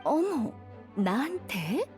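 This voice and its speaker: An elegant young witch in an anime game. She speaks slowly in a high, swooping voice, languid and playfully doting. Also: sing-song, over music, exaggerated voice. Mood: teasing, playful.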